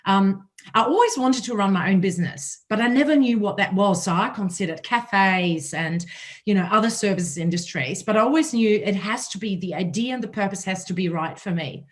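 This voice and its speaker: Raspy german-accented woman hosting a panel, chatty and personal. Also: mid-range voice, normal speed. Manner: conversational, telling her own story. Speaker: host.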